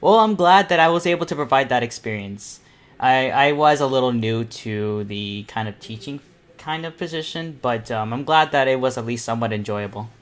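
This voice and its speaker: A young man hosting an online art panel, chatting casually and excitably as he draws, thinking aloud. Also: mid-range voice, moderate pace. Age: teens or young adult. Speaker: host of an online painting panel. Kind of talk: casual chat while drawing live.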